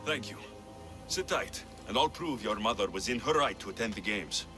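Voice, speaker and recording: An actor playing a young, skeptical Greek mercenary hero in a video game, wry and matter-of-fact. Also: male voice, fairly low pitch, animated, normal speed, over music, lightly acted. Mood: businesslike. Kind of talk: video game dialogue acting.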